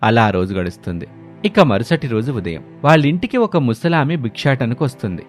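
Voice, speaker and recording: A man's voice: mid-range voice, expressive, brisk, soft background music. A man narrating a scripted moral story as a storyteller.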